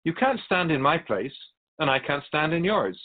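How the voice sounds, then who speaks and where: A man's voice: fairly high pitch, sing-song, phone-quality sound. A man playing a wise otherworldly keeper of souls in a radio drama, courteous, philosophical and wry as he tells stories. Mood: curious, challenging. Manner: measured, philosophical, gently challenging. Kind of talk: radio drama dialogue, storytelling.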